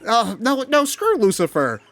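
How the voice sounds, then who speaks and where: A man co-hosting a game commentary show, talking casually in an animated, opinionated rant and swearing freely. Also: extremely high pitch, normal speed, no room sound.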